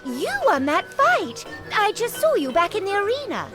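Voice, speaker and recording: A woman's voice: very high voice, sing-song, moderate pace, music in the background. A woman voicing a spirited, gossipy tavern musician in a cartoon game. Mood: eager, gossipy. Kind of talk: animated cartoon game voice acting.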